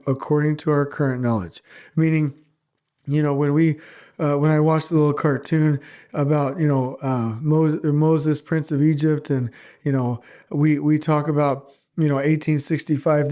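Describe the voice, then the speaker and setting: A man's voice: a little high, warm tone. A man hosting his own podcast, talking casually with a stuffy nose from a cold, earnest and a little rambling. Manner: rambling, earnest, self-mocking. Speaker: podcast host. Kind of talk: casual solo podcast monologue.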